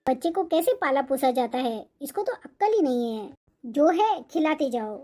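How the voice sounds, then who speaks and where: A woman's voice: high voice, lively intonation, normal speed, background noise. A woman voicing a nagging older mother-in-law in a cartoon family drama, with a complaining manner. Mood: scolding. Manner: scolding, complaining.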